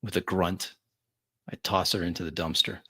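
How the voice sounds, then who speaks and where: A man with a low voice improvises dramatic first-person narration for a tabletop game. He speaks deliberately, breaking sentences into short phrases with long pauses. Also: flat delivery, sharp tone. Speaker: tabletop roleplay player.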